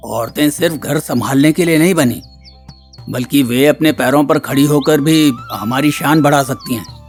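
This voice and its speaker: A man's voice: a little high, normal speed, faint music behind, close to an everyday voice. A man voicing a stern, traditional village headman in a moral-story cartoon, speaking with authority. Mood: remorseful. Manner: authoritative, admonishing.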